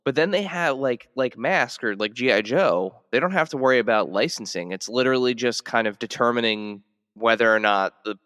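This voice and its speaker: A man's voice: mid-range voice, lively intonation, brisk, fairly bright tone, dry recording, in a large hall. A man co-hosting a podcast, chatting casually. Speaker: podcast co-host. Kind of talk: casual chat.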